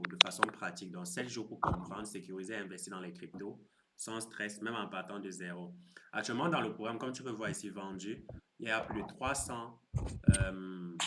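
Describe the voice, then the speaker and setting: A man in his thirties hosting a crypto live stream, chatting with viewers in a relaxed, teasing way and explaining his course. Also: fairly low pitch, a little hiss or hum. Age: 30s. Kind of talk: casual live stream chat.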